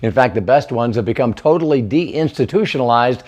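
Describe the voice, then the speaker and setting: A man's voice: medium pitch, lively intonation, brisk, darkish tone. A man narrating a scripted television feature as one of the show's hosts. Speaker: TV host and narrator.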